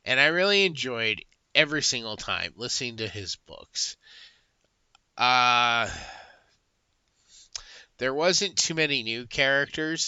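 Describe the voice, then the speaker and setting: A man's voice: mid-range voice, pushed and bright, clear, resonant tone, no room sound, lo-fi sound. A young adult man giving a casual, rambling book review, full of fillers and restarts.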